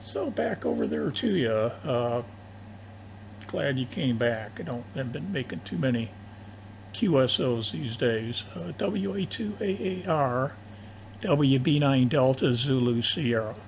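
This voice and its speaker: Slow-talking older man on ham radio. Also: mid-range voice, animated, creaky, rough recording. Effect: AM shortwave radio. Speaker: amateur radio operator. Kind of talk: casual ham radio contact. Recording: AM ham radio audio, faint hiss.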